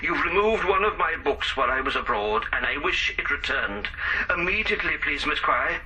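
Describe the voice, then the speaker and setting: A man's voice: on the high side, lively intonation, normal speed, sharp tone, slight background noise, low-quality recording. An actor playing a pompous, indignant male professor who speaks with clipped, formal insistence in a radio drama.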